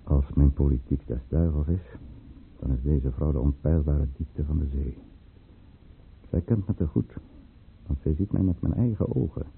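A man's voice: a bass voice, moderate pace, vocal fry, long pauses, a little hiss or hum. An actor playing a calculating, commanding statesman in a radio play, speaking formally and theatrically. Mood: brooding. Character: calculating, commanding statesman with secrets, in a troubled love affair. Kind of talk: Dutch radio play acting. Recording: muffled, phone-like old radio play recording.

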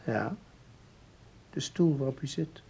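An actor playing a calm researcher in a Dutch radio play, explaining formally and deliberately with small hesitations. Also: male voice, mid-range voice, gravelly, breathy edge, heavily acted. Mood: lecturing. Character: calm, explaining male researcher of time anomalies.

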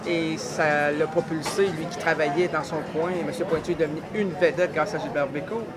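A man's voice: a little high, fairly even intonation, brisk. A man from Quebec with a Quebec French accent, interviewing a passer-by for the radio and often finishing his guest's sentences. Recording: loud street crowd and music behind.

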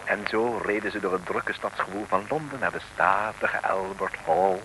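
A man's voice: on the low side, moderate pace, natural voice. A man narrating an old radio serial in a chatty way, speaking straight to the listeners as he recaps. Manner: chatty storytelling, addressing the listeners directly. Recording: noisy old radio recording.

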